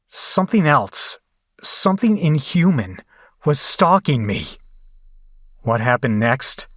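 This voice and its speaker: Man playing shaken survivor, slow grave narration, horror audio drama, dry close sound. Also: mid-range voice, very animated, fairly bright tone, dry recording, exaggerated voice. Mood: rising dread. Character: shaken horror survivor recounting a bloody escape.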